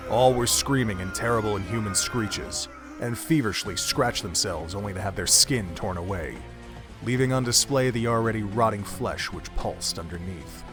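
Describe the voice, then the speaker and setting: A man's voice: fairly low pitch, normal speed. A man reading scripted narration for an audio drama in a dramatic storytelling manner. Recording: music under the narration.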